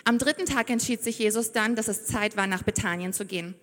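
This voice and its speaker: A woman's voice: on the high side, a little fast, fairly bright tone. Effusive young woman preaching in a ringing hall. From Siberia. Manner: effusive, heartfelt, enthusiastic. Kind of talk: sermon with Bible reading. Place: church stage before a congregation. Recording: reverberant church hall.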